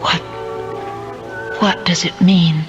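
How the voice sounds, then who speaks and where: A woman's voice: on the low side, steady tone, slow. A woman playing a sweet, compassionate, earnest young woman in a 1970s radio drama, with a theatrical delivery. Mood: curious, uneasy. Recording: dull 1970s radio broadcast with music.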